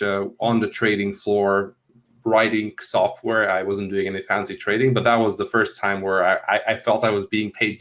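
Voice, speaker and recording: A man's voice: fairly low pitch, fairly bright tone. A man in his thirties, originally from Hungary, talking through his career as a guest on a video call, rambling and restarting his sentences. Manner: rambling, repeats words at starts. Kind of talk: casual interview talk. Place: small room at home. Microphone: earphones on video call.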